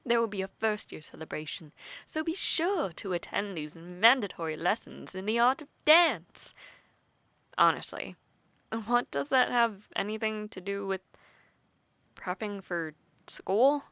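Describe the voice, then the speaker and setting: A woman acts out a playful young woman training to be a soldier, in a fantasy audio drama. She talks in an easy, teasing, conversational way with pauses and sighs. The sound is intimate and dry, with no room around it. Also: medium pitch, big pitch swings, bright, forceful tone, dry recording, full character voice. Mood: teasing, mocking. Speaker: voice actor in audio roleplay. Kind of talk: intimate audio roleplay acting.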